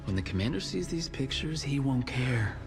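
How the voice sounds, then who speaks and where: A man's voice: medium pitch, big pitch swings, normal speed, over music. An actor playing a persuasive, reckless, glory-seeking pilot, coaxing his partner in a video game cutscene.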